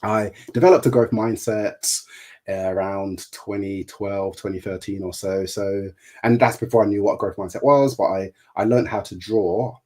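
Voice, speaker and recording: A middle-aged man with a low-pitched, mellow voice talks through his career as a guest on a remote interview. He stutters, so words and sounds repeat as he goes. He speaks in an easy, conversational way. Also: normal speed. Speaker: guest, therapist and life coach.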